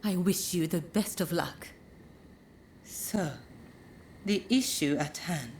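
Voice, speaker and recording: Gentle, troubled, low-voiced woman. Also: slow, slight background noise. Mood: concerned, polite. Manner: polite, formal, hesitant. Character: gentle, earnest, troubled woman torn by loyalty to her lord. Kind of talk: dramatic video game dialogue. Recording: music behind the voice.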